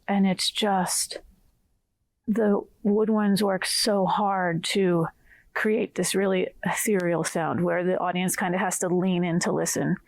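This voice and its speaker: Female musician in casual interview, reflective, creaky voice, close dry sound. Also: fairly low pitch, flat delivery, unhurried, dry recording. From New Jersey, United States. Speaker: orchestra principal flutist, guest. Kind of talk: casual conversation about classical music.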